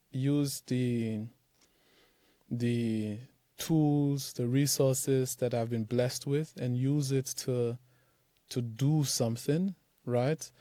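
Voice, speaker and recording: Easygoing man, mellow voice, filler-heavy. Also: medium pitch, no echo, clean studio sound. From Nigeria. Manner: animated, loose, many fillers like "like" and "man". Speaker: startup founder, guest. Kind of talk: casual interview talk.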